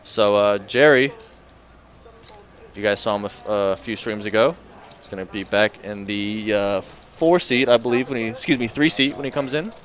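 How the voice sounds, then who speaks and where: A man's voice: lowish voice, moderate pace, fairly bright tone. An American man doing casual live poker commentary, chatting in a laid-back, colloquial way. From Florida, United States. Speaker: regular poker stream commentator.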